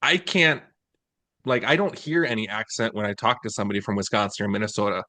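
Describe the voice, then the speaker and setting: A man's voice: on the low side, animated, quick pace, pushed and bright, no echo. Wry Midwestern man, dry close sound. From American Midwest. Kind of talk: casual interview chat.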